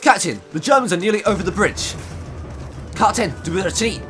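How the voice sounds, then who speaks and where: A man's voice: high voice, lively intonation, fairly quick, a bit bright. A man playing an anxious First World War soldier in an amateur audio drama, urgent and agitated as he reports to his captain. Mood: alarmed. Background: dramatic music.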